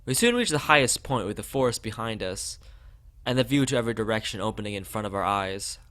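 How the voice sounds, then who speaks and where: A man's voice: fairly low pitch, animated, quick pace, fairly bright tone. A man from the United States, a let's play streamer, reading a visual novel aloud.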